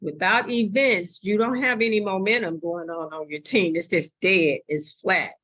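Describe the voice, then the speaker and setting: A woman's voice: on the low side, fairly even intonation, normal speed, a bit bright. A woman on a video call giving an emphatic motivational talk to her team and introducing a video. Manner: emphatic, repetitive.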